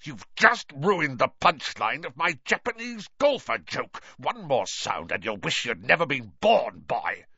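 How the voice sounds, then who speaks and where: A man voicing a gruff, menacing middle-aged uncle in an audiobook, blustering and threatening. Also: fairly high pitch, very animated, normal speed, hardly any pauses, bright, forceful tone, dry recording, dull audio, pitched above the performer's own voice, exaggerated voice. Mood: furious, menacing.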